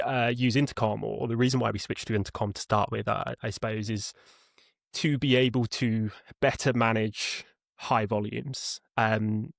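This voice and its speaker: A man's voice: mid-range voice, gravelly, no room sound, studio quality. A man being interviewed as a podcast guest, explaining conversationally, rambling and repeating words as he thinks. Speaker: podcast guest, head of customer experience.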